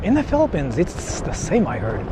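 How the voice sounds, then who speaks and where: A man rambles casually to his livestream viewers in a fairly high, animated voice. His delivery is jokey and loose. He is recorded outdoors, with clear traffic and city noise around him. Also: normal speed. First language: Japanese. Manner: jokey, rambling, reading and answering chat. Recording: noisy outdoor street with traffic. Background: cars and urban street noise.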